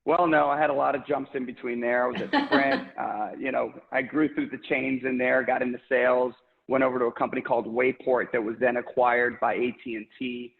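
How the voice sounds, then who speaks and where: A man's voice: medium pitch, steady tone, brisk, gravelly. A man in his thirties from Puerto Rico, talking about his career in an upbeat work interview over a video call, with lots of fillers. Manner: enthusiastic, upbeat, many fillers. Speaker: regional sales director, interview guest. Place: home office, indoors. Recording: tinny echoey video-call audio.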